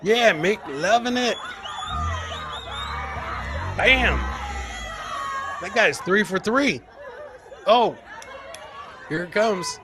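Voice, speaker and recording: Boisterous high-voiced American man hosting. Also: lively intonation, extremely slow, long pauses, over music, a crowd in the background. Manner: boisterous, shouting catchphrases, swearing freely. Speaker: comedy podcast host. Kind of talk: loud live commentary on funny clips.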